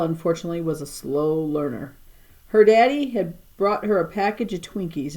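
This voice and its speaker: Low-pitched woman reading a storybook. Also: darkish tone. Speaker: teacher reading aloud. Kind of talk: expressive storybook narration for children.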